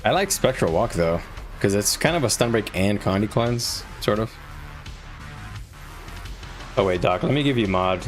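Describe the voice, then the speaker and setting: A man's voice: fairly low pitch, animated, moderate pace, long pauses. A man talking casually to viewers on a live gaming stream, explaining things in an informal, conversational way. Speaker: game livestreamer. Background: music.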